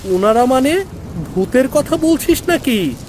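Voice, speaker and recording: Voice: sits high, lively intonation, unhurried, a little mellow, a little hiss or hum. An actor voicing a brave, protective big brother, a village boy in a cartoon story. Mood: bold, calm.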